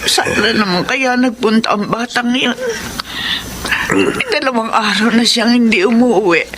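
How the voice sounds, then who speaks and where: A woman's voice: a little high, a little slow, natural voice. An actress playing a sick, worried elderly mother with a tired, fretful way of talking, in a radio drama. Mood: weak, worried. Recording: hissy old radio recording.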